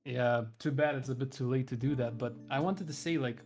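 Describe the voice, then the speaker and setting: A man's voice: mid-range voice, a little fast, a little mellow, faint music behind. Give me an eager, talkative man hosting a casual stream chat. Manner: chatty, enthusiastic, rambling. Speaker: streamer host.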